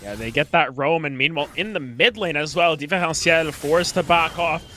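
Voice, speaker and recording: Bright-voiced man calling an esports match. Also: a little high, moderate pace. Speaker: esports play-by-play commentator. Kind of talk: live League of Legends match commentary.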